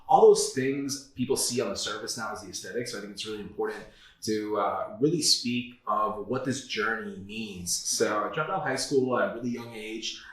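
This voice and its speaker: Low-voiced man telling his story. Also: a bit bright. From South Florida. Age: 30s. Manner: candid, storytelling, frequent "like" and "uh". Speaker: guest. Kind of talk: casual interview. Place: office. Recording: echoey room.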